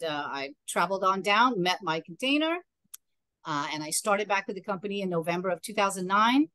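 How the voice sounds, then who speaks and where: A middle-aged woman from Trinidad speaks as a webinar guest in a conversational way. Her voice is on the low side, and the video-call sound is dry, with little room in it. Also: a bit bright, no room sound, poor audio. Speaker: yacht sales representative, webinar guest. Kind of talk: conversational personal account in a webinar.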